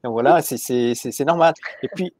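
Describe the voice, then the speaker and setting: A man's voice: mid-range voice, moderate pace, darkish tone, dry recording. A man moderating an online panel discussion, joking and stumbling over repeated words as he talks. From Paris. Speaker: webinar moderator.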